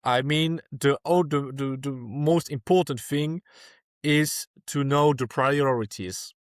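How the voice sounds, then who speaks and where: Man, from France, earnest, restarts sentences, mid pitch, dry close mic. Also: takes it slowly, no echo. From France (Alsace). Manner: earnest, searching for words, frequent restarts. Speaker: guest activist. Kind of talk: podcast interview answers.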